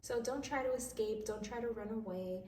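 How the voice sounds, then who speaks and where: A woman with a soft, dark-edged mellow voice, a little stuffed up, talks casually through a spiritual tarot reading. Her delivery is informal and reassuring. She sounds set back from the mic in a roomy, echoey space. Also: a little high, normal speed. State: slightly stuffy nose from crying. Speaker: tarot reader and host. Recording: distant mic, roomy echo, faint noise.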